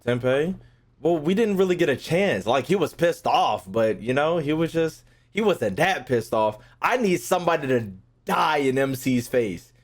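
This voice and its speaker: A man's voice: on the high side, lively intonation, moderate pace. A man giving casual, blunt gaming commentary as a streamer, full of slang and swearing, with game music behind. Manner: blunt, irreverent, profane.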